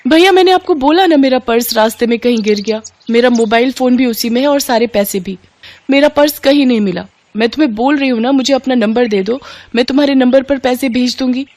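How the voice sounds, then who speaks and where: A woman's voice: sits high, fairly quick, a little mellow, no room sound, voice pushed up, natural voice. A woman voicing a polite, earnest young rich woman in an acted storybook dialogue. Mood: flustered, insistent.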